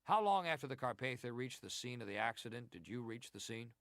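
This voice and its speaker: Formal, probing senator. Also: male voice, medium pitch, very animated, fairly bright tone, dry recording, studio quality. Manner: formal, deliberate, inquisitorial. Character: formal, probing American senator questioning a witness. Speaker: actor reading hearing testimony. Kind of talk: dramatized reading of historical hearing.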